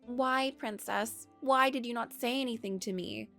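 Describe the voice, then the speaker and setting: A woman's voice: on the high side, a little slow, a bit bright, soft background music, pitched above the performer's own voice. A loyal young handmaid from a storybook, acted by a woman. Her voice sits raised and plaintive, full of heartbreak. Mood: forsaken, pleading.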